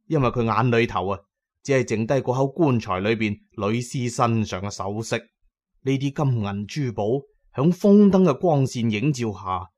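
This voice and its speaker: A man's voice: medium pitch, darkish tone. Theatrical male horror storyteller. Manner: theatrical, emphatic, colloquial asides to listeners. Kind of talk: dramatic horror story narration.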